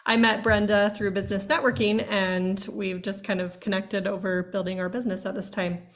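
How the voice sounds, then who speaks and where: A woman's voice: mid-range voice, level tone, normal speed. Chatty woman hosting over a video call. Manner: eager, talkative, upbeat. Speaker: podcast host. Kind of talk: casual interview chat. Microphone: video call recording.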